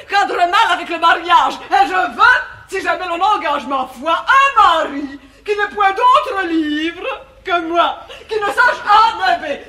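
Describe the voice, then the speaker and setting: A woman's voice: very high-pitched, bright, forceful tone, heavily acted. A woman playing an outspoken, cheeky, uneducated servant in a French verse stage comedy, speaking with brash emphasis. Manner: brash, emphatic, plain-spoken. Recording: echoey, noisy vintage theatre recording.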